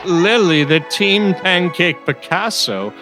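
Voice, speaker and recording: A man's voice: high-pitched, expressive, takes it slowly. A man announcing a scripted sitcom promo. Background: music.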